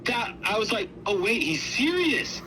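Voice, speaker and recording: A man's voice: high-pitched, big pitch swings, measured pace, pushed and bright, a little hiss or hum, rough recording. A man giving casual, opinionated commentary in an indignant, emphatic way, often repeating himself for force. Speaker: co-host.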